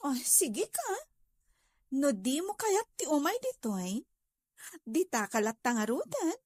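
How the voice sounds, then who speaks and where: A woman's voice: high voice, big pitch swings, measured pace, darkish tone, dry recording, clean studio sound. A woman playing a stubborn, self-centred wife in an audio drama, insistent and dismissive, with an Ilocano accent.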